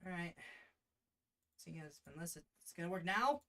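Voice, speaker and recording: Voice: medium pitch, animated, moderate pace, fairly bright tone. A streamer chatting casually and muttering to themselves during a livestream. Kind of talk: casual livestream chat, reading a visual novel aloud. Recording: music in the background. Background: music.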